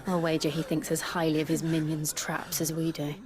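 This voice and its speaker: An actress playing a wary, skeptical female warrior companion with measured speech in a video game cutscene. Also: low-pitched, level tone, crowd noise, close to an everyday voice.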